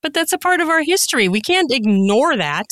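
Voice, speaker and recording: A woman's voice: high voice, big pitch swings, brisk, fairly bright tone, dry recording, studio quality. A woman giving casual, conversational answers as an interview guest. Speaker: podcast guest, author.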